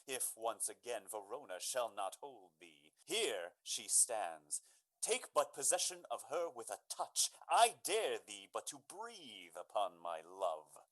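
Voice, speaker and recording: An actor playing a bold, earnest young gentleman in a Shakespearean radio drama, declaiming in a theatrical, commanding way. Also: medium pitch, very animated, measured pace, low-quality recording. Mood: fierce, threatening. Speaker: amateur stage actor.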